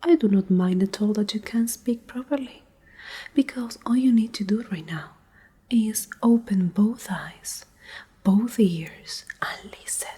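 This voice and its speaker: Breathy, whispering, domineering female Alpha played by a woman. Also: mid-range voice, dark tone. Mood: threatening, controlling. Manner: slow, deliberate, condescending. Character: domineering, menacing female pack Alpha, coldly possessive captor. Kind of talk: soft-spoken whispered ASMR roleplay.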